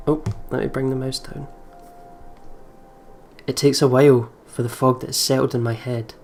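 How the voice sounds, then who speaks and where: An excitable let's play host with a mid-pitched voice. They read a horror visual novel aloud and slip into casual, jumpy commentary with some swearing. Game music plays behind the voice. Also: normal speed.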